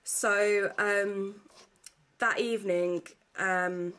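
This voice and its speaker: A woman's voice: mid-range voice, fairly even intonation, drawn-out words, fairly bright tone. A young woman talks casually to a camera at home. She rambles along with frequent fillers, and her voice sounds congested from a blocked nose. The sound is simple, picked up by the camera's own mic. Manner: rambling and chatty, full of fillers. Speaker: vlogger.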